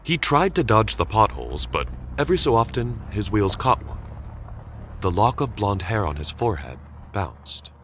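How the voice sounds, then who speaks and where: A man's voice: lowish voice, big pitch swings, moderate pace, a bit bright, a little hiss or hum. A man reading scripted, dramatic story narration as the narrator of an audio tale.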